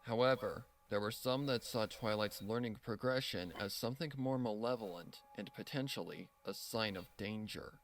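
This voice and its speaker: A man's voice: on the low side, normal speed, few pauses. A man narrating a dramatic story from a script as the storyteller.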